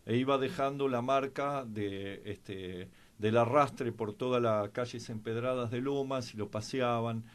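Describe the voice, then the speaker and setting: An older man with an Argentine accent talks through history as a panelist. His voice sits at a medium pitch. The phrasing is halting and hesitant, in a conversational register.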